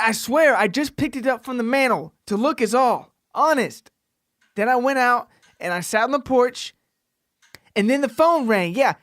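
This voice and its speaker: A man acts out a frightened young boy in an audio story, his voice lifted high and close in a dry recording. He is meek and polite, stumbling over words as he pleads. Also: fairly bright tone, no room sound.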